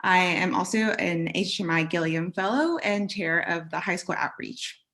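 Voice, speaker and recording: Soft-spoken young woman moderating a panel. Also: on the low side, moderate pace. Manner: soft-spoken, hesitant with many ums. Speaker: panel moderator, graduate student. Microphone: video call.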